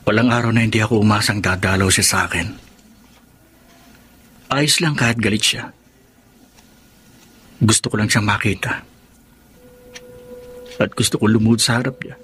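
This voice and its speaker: A man's voice: lowish voice, moderate pace, long pauses, fairly bright tone, husky. An actor playing a young man full of remorse in a radio drama, confessing earnestly and begging for forgiveness. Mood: remorseful. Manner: earnest, guilt-laden confession. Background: music.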